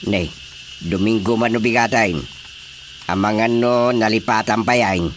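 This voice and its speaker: A man's voice: fairly low pitch, fairly even intonation, unhurried, a little hiss or hum, close to an everyday voice. A man playing a chatty, practical village official in a radio drama comedy, talking emphatically. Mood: emphatic.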